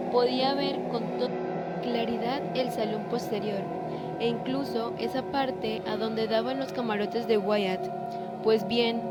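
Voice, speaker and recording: A young woman reading a scripted literary story as narrator of an amateur radio drama, with music behind her. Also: fairly high pitch. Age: young adult. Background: loud music.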